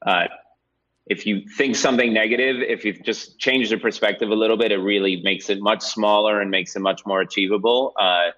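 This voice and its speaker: Low-voiced man, dull lo-fi sound. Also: fairly even intonation, brisk, a bit bright. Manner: reflective, frequently checks in with "right?". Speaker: podcast guest, real estate agent. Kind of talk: conversational interview, personal reflection.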